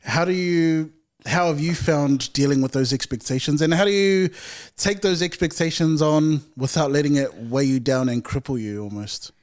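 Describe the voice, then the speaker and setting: A man hosting a podcast, asking friendly, measured follow-up questions. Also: on the high side. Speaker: podcast host. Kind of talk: casual podcast interview.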